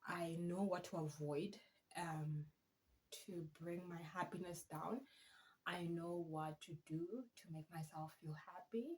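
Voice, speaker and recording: A woman in her thirties, Afrikaans her first language, chatting to camera about her life. She has a fairly low voice and talks in a loose, self-mocking way, full of animated asides. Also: measured pace, poor audio. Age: 30s. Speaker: vlogger and student teacher. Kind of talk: casual chat to camera.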